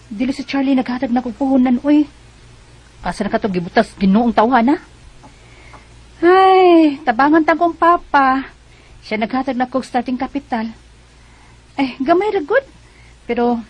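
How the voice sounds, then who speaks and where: An actress playing a determined young single mother in a Bisaya radio drama, earnest and expressive, with a Cebuano accent. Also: high voice, normal speed, pauses often, husky. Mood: chatty. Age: young adult.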